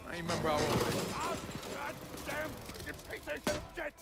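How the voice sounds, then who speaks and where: A young American heist crewman, his voice pitched very high. He is brash, cocky and swears freely, in video game cutscene style, with music behind him. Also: sing-song, fairly quick, creaky, exaggerated voice. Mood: boisterous, friendly. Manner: foul-mouthed, cocky, informal.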